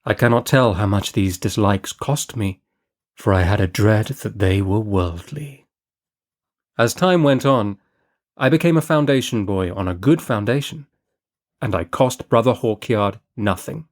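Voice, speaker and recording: A man reading a classic short story as an audiobook narrator, in measured, deliberate storytelling. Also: lowish voice. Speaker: audiobook reader.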